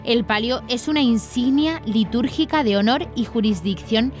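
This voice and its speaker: Higher-pitched Spanish woman reading news. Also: moderate pace. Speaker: news presenter. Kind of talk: scripted news narration. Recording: music under the voice.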